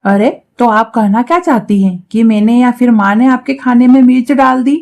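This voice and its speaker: A woman's voice: on the high side, moderate pace, darkish tone, close to an everyday voice. A woman voicing a spiteful, scheming young crow sister-in-law in a cartoon story, sly and mocking with many exclamations. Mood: indignant. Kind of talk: Hindi cartoon story acting.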